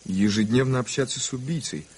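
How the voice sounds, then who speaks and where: An actor playing an earnest, naive and politely formal American visitor in a radio play, speaking with emphasis. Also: male voice, medium pitch, brisk, darkish tone, slight background noise, lightly acted. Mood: earnest, shocked. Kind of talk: radio play acting, 1980s Soviet radio.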